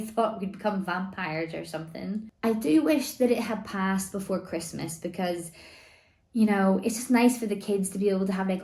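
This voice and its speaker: A woman in her thirties talks to camera in a loose, rambling way, full of "like" and "you know". Her voice is still slightly worn after laryngitis, and it sits at a middle pitch. The room around her is echoey. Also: darkish tone. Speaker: vlogger. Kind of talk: casual chat to camera. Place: home room.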